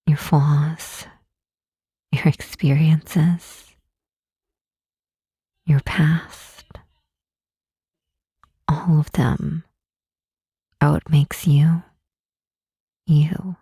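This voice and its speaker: A woman playing a gentle, reassuring Demon Queen in an ASMR comfort roleplay, speaking in a soft, husky half-whisper with slow, soothing earnestness. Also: sits low, dry recording, clean studio sound, heavily acted.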